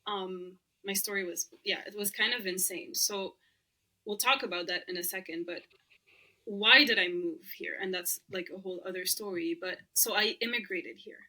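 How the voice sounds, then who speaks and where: Bright-voiced woman, chatty guest. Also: mid-range voice, moderate pace. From Lebanon. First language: Arabic. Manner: chatty, laughs often, many "like" fillers. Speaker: podcast guest. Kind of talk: casual interview chat.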